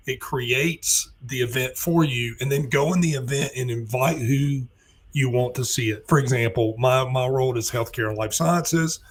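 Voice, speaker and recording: A man with a hoarse, worn-out voice, joining a live online talk show as a guest and chatting casually and earnestly. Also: medium pitch. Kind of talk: casual chat.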